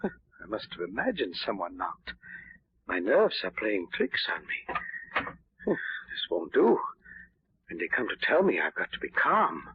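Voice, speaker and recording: An actor playing a desperate, guilt-haunted murderer posing as a gardener, raspy and nervous, in 1940s radio mystery style. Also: male voice, fairly low pitch, huge pitch swings, unhurried, long pauses, no echo, heavily acted. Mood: jittery. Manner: nervous, overwrought, melodramatic. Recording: dull vintage 1940s radio recording.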